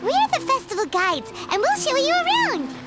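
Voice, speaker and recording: A woman voicing a tiny, excitable, chatty fairy companion in an anime video game, with a squeaky childlike voice and an exclamatory, cheerful way of talking. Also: normal speed, full character voice. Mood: cheerful, eager. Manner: exclamatory, speaks of herself in third person. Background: background music.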